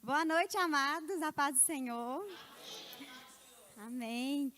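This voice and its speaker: A woman's voice: sits high, slow, bright, forceful tone. A woman from Ipatinga, a missionary giving a conversational church teaching talk, often ending phrases with a tag question. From Ipatinga, Minas Gerais, Brazil. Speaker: missionary speaker.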